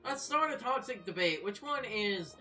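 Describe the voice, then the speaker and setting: A woman giving casual, joking gaming commentary in a halting, tongue-in-cheek, sweary way. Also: mid-range voice, animated, sharp tone, faint music behind. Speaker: let's play commentator.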